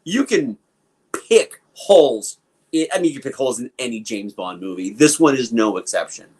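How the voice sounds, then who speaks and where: A man's voice: mid-range voice, expressive, moderate pace, a little mellow, breathy edge. Opinionated man riffing on a podcast. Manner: opinionated, riffing with lots of fillers. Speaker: podcast co-host. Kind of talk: casual film review chat.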